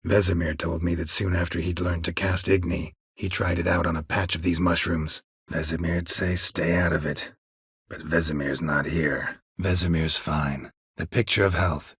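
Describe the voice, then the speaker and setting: An actor playing a gruff, weary, stoic monster hunter in a video game, a gravelly voice and a terse, deadpan delivery. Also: male voice, moderate pace, dry recording, exaggerated voice. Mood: matter-of-fact, grim. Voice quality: husky, gravelly low voice. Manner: terse, clipped, deadpan, dry. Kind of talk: video game character dialogue.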